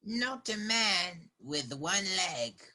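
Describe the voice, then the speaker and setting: A woman's voice: on the low side, big pitch swings, extremely slow, pushed and bright, heavily acted. A woman playing a half-wild marooned castaway sailor in a radio play, eager and rambling, with rustic sailor dialect. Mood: wary, anxious. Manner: rambling, repeats words, exclaiming. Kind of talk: children's theater radio play acting.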